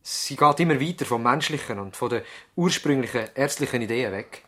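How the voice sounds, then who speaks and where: An actor with a Swiss German accent playing an earnest, idealistic young doctor in a 1970s radio play, speaking in a measured, formal way. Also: male voice, mid-range voice, animated, pushed and bright. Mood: respectful, thoughtful.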